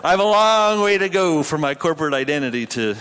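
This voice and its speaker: A man's voice: a little high, expressive, fast, fairly bright tone. Canadian man preaching in a reverberant hall. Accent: Canadian English. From Quebec, Canada. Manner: joking, self-deprecating, informal. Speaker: pastor. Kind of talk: conversational sermon to a live audience. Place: large hall, speaking to an audience.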